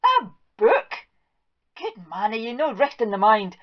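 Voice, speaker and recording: Woman doing a shrill, scolding old Scots farm wife. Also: very animated, unhurried. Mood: fretful scolding. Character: fussy, excitable old Scottish farm wife. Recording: dull lo-fi recording.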